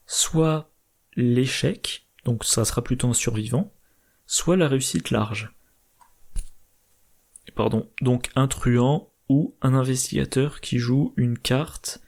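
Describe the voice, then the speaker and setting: A man with a slightly hoarse, gravelly voice walks through card game strategy in a methodical, explanatory way. He thinks aloud as he goes, with small hesitations and self-corrections. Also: medium pitch, darkish tone. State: slightly hoarse, irritated throat. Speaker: board game channel host.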